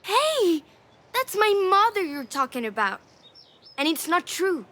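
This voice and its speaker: Little girl, high voice, curious, earnest, gentle, consoling, dramatic game acting. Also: big pitch swings, unhurried, exaggerated voice. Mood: warm, encouraging. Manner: earnest, supportive, inquisitive.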